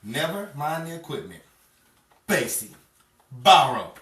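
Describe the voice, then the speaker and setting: A man acting an excitable, wisecracking pal in a 1950s-style radio comedy, exclaiming in mock disbelief. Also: on the high side, measured pace. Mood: exasperated. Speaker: actor and announcer in an old-time radio comedy. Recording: roomy distant mic, faint noise, audience.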